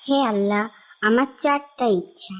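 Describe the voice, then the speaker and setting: A woman's voice: fairly high pitch, moderate pace, slight background noise, lightly acted, low-quality recording. A woman voicing a sweet, devout, kind-hearted little girl in a cartoon story, polite and earnest. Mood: earnest, pleading. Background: background music.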